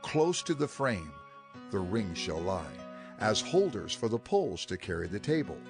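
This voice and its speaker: An actor playing a solemn male scriptural figure giving firm instructions in a measured, declamatory dramatized reading. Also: lowish voice, big pitch swings, vocal fry, music in the background. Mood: solemn, instructive.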